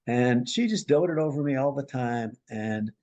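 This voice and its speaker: A man, a marriage and family therapist, recounting a counseling case as a lively storyteller who acts out the people he quotes. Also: measured pace, lo-fi sound. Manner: animated storyteller, acts out quoted voices with big pitch jumps.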